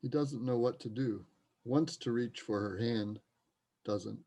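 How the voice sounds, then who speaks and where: A man's voice: medium pitch, measured pace, dark tone. A man narrating stage directions in a scripted reader's theater performance.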